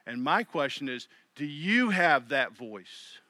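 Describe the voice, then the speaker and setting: Middle-aged male pastor, chatty sermon delivery, bright tone, dry close mic. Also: a little high, big pitch swings, slow pace, no echo. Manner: conversational preaching with quoted inner voices.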